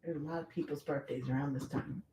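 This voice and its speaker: A woman co-hosting a car livestream, relaxed as she reads out viewer comments and questions. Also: low voice, fast talker, reverberant, a little hiss or hum, low-quality recording. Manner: relaxed, reads out chat messages. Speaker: co-host reading viewer comments. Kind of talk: casual chat on a livestream.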